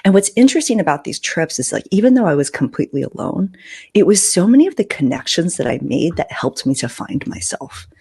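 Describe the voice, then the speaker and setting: A woman's voice: on the low side, very animated, a little fast, warm tone, breathy edge. A middle-aged woman hosting a podcast, telling personal stories in a candid, informal way with some swearing. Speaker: podcast host. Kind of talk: casual personal storytelling.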